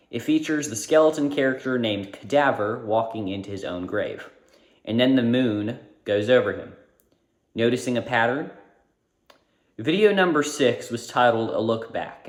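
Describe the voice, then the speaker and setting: A man narrating a casual video essay, informal and correcting himself now and then. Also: mid-range voice. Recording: roomy echo.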